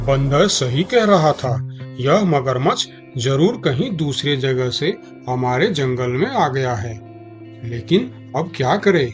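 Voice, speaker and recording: A man's voice: medium pitch, animated, slow pace, faint music behind. A man voicing a proud but skeptical and gullible male jungle animal in a cartoon story. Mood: troubled, doubtful. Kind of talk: Hindi cartoon story dialogue.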